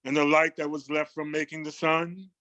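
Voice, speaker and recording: A man playing a grand reverend, reciting a poem like a sermon with deliberate, emphatic storytelling. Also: on the high side, flat delivery, sharp tone, no echo. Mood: grand, reverent. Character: grand male reverend comforting his congregation with poetry. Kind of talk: dramatic sermon-like poetry recitation.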